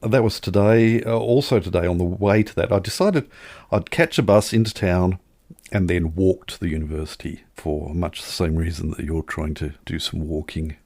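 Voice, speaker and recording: A man with a low, gravelly voice talks casually as a podcast co-host. He tells everyday anecdotes with wry, wordy phrasing. The sound is dry, free of room echo. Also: normal speed, darkish tone.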